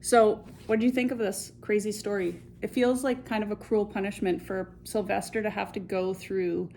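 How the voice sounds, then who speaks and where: A woman hosting a children's story time, reading and chatting in an expressive, conversational way straight to young viewers. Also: medium pitch, normal speed, slight background noise. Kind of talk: picture-book read-aloud with chatty asides.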